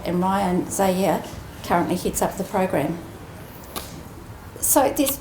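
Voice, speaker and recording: A woman giving a prepared ceremony speech as an executive, measured and earnest with deliberate emphasis. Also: mid-range voice, a little mellow. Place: indoor ceremony room. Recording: roomy echoing venue, faint background noise.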